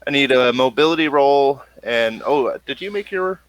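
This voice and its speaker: Man, game master, casual narration, animated intonation, halting, thinking aloud. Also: medium pitch, noisy background.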